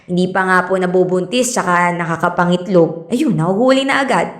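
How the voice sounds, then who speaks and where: A woman playing a polite, patient fisheries speaker in a radio drama, explaining things in a measured, courteous way. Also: fairly low pitch, reverberant, close to an everyday voice.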